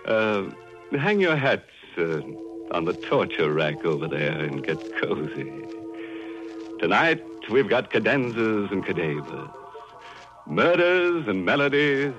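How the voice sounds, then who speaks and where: Deep-voiced ghoulish horror host, macabre punster, dull old radio sound. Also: male voice, sing-song, takes it slowly, over music. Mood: mocking. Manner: macabre puns, mocking chuckles. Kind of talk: 1940s radio acting. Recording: dull 1940s radio broadcast.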